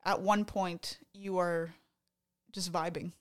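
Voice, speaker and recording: A woman's voice: lowish voice, fairly even intonation, takes it slowly. A woman co-hosting a podcast, chatting casually about a show with a playful, dryly teasing manner. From Canada. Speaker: podcast co-host. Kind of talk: casual chat, recounting a concert.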